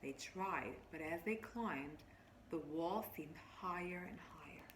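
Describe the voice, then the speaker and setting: A woman with a bright, slightly low voice tells a story as a teacher would to young children. She reads expressively, then breaks off to explain and pose questions to her listeners. The room adds a clear echo around her voice. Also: a little slow. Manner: expressive, instructive, addressing children directly. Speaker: teacher reading a picture book to children. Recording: roomy, echoing, faint background noise.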